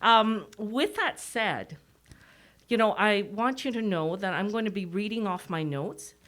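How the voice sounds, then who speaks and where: Female presenter, middle-aged, Canadian accent, mid-pitched voice, masked, chatty delivery partly from notes. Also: unhurried, fairly bright tone. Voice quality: speaking through a mask. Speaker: executive director giving a presentation.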